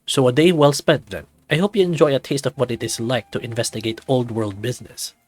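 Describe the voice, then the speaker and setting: A man's voice: medium pitch, steady tone, a little fast, close to an everyday voice. A man playing a jovial male professor with a teasing, lecturing manner in visual novel dialogue. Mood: amused, teasing. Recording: background music under the voice.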